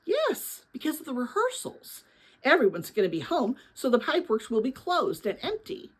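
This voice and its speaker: An eager boy adventurer from a storybook , acted out by a woman reader. He is urgent and impatient, with a high, husky voice that swings about in pitch. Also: normal speed, heavily acted. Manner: urgent, excited rush. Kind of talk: storybook read-aloud character voice.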